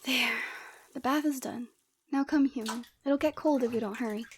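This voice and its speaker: A woman plays a lonely yeti woman with a fairly high voice, proud and motherly in an intimate ASMR roleplay. She is commanding but tender, often sinking into a breathy whisper. There is clear noise behind the voice. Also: normal speed, close to an everyday voice. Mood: teasing. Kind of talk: ASMR roleplay, close and slow character acting.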